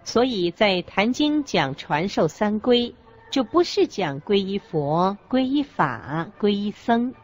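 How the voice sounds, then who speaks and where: A woman's voice: mid-range voice, sing-song, slow pace. A woman reading scripted religious narration as co-narrator of a radio lecture. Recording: dull lo-fi audio with background music.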